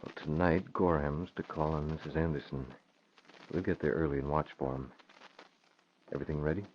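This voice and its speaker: An actor in an old-time radio drama playing a cool, wry masked vigilante with a casual, clipped manner. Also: male voice, a bass voice, fairly even intonation, fairly quick. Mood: businesslike. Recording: dull, noisy old radio recording.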